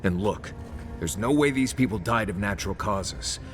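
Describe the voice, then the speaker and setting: Male actor as game adventurer, sardonic, pointed, lowish voice, soft music behind. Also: animated, moderate pace, lightly acted. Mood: dry, suspicious. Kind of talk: fantasy video game dialogue.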